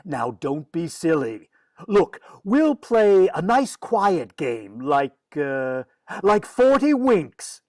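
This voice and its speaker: A woman acts as a long-suffering daddy in old-time radio comedy. The delivery is slow, with big swings in pitch and a close, dry sound. The tone is firm and wry. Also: sing-song, darkish tone, no room sound. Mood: exasperated, coaxing. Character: exasperated, firm father of a mischievous girl. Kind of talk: 1940s radio comedy acting.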